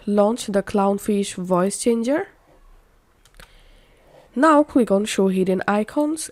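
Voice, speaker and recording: A woman narrating a how-to software tutorial as its host, instructional with slightly halting phrasing. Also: medium pitch. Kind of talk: step-by-step software tutorial voice-over.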